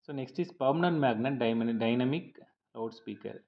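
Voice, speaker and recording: A man reading a scripted lecture over slides in a measured, slightly halting, explanatory way. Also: mid-range voice, lo-fi sound.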